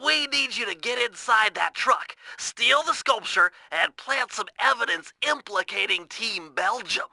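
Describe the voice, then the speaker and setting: A nerdy young male turtle from a cartoon video game, cautious and exact. The voice is thin, creaky and very sharp, recorded close and dry. He explains things in wordy, earnest, instructional sentences. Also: very high voice, lively intonation, unhurried, few pauses, no room sound, full character voice. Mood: earnest, scheming. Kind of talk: video game mission briefing and tutorial voice acting.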